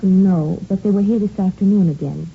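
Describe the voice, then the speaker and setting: An actress playing an earnest, concerned household cook with a French accent, speaking carefully and politely in a 1950s radio drama. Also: female voice, medium pitch, normal speed, creaky, close to an everyday voice. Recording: muffled, noisy vintage radio recording.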